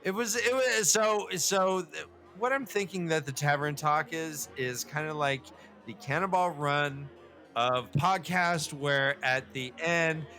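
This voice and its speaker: Bright-voiced man, rambling podcast banter. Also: on the high side, faint music behind. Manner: jokey, rambling, halting delivery. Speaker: podcast co-host. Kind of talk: casual comedic banter and book chat.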